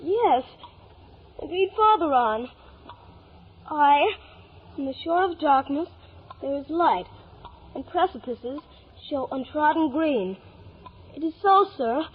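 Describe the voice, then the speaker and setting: A woman's voice: high-pitched, lively intonation, takes it slowly, long pauses. A woman playing an earnest, imaginative schoolboy full of breathless wonder in 1950s radio drama style. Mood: fervent pleading. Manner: breathless, earnest, wide-eyed. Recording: dull, noisy vintage radio recording.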